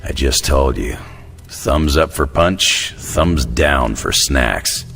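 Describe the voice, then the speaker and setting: A man playing a gruff, blunt tough-guy superhero in a video game, with a gravelly, deadpan delivery in a reverberant space over music. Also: extremely low pitch, slow, full character voice. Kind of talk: video game cutscene acting. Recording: reverberant hall, music behind.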